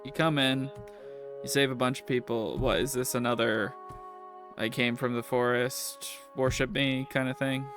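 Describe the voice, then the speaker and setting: A man's voice: medium pitch, steady tone, moderate pace, fairly bright tone, soft background music, lightly acted. A man playing a suspicious, hostile prison warden in a tabletop game, speaking in a curt, challenging way.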